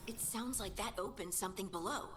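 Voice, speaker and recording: A woman's voice: mid-range voice, brisk, background noise, close to an everyday voice. An actress playing an earnest, alert female scout in a fantasy video game, explaining things. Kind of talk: dramatic video game acting. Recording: loud game music behind.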